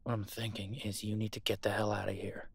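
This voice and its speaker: A man's voice: fairly low pitch, flat delivery, fairly quick, vocal fry, husky, no echo. A man acting an embattled, protective supernatural fighter in an audio roleplay, urgent and commanding. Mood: urgent, insistent.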